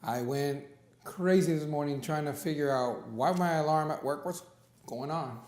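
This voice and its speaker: A man's voice: fairly high pitch, normal speed, a little mellow. A man co-hosting a studio talk show, easygoing and joking, rambling a little as he chats. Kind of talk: casual studio chat. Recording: roomy studio echo.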